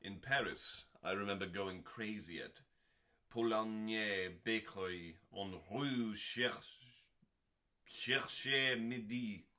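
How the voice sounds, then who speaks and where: A man hosting a dramatic scripted reading in a deliberate, theatrical manner with pauses and dry, deadpan delivery. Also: on the low side. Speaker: host.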